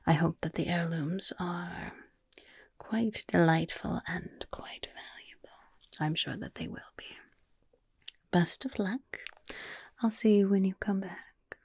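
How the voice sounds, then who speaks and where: A woman voicing a friendly, sly female goblin quartermaster in an ASMR roleplay, in a soft breathy whisper, coaxing and unhurried. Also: fairly low pitch, no echo, heavily acted. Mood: encouraging. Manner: coaxing, unhurried, repeats words for emphasis.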